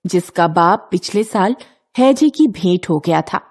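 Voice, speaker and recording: A woman's voice: on the low side, lively intonation, measured pace, darkish tone. A woman narrator reading a scripted children's story.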